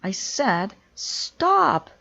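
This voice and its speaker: A bossy male conductor, acted out by a woman reader from a children's storybook, commanding and exasperated. The words are stretched slowly and pushed up in pitch, with a husky rasp to the tone. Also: sing-song, bright, forceful tone, dry recording, lo-fi sound, full character voice. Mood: furious.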